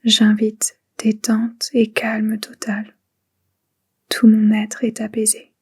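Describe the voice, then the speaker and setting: A woman guiding a relaxation meditation in a breathy, whispery voice, soothing and hushed, in short spaced phrases. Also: a little high, monotone, slow pace. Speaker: guided meditation instructor. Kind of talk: guided relaxation meditation, soft and unhurried.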